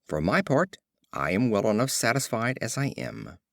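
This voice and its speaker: A man's voice: medium pitch, big pitch swings, normal speed, no echo, clean studio sound. A man reading a fable voices a selfish male porcupine who refuses to leave, blunt and smug in tone.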